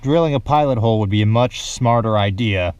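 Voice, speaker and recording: A man's voice: on the low side, brisk, a little mellow, smooth, dry recording, lo-fi sound. A young man narrating a casual DIY how-to vlog inside a car, with a wry, self-deprecating manner. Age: young adult. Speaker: DIY car project presenter.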